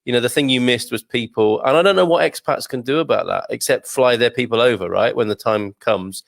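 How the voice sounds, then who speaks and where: A man hosting an interview, upbeat and quick with praising interjections. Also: mid-range voice, vocal fry, no echo, clean studio sound. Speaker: host.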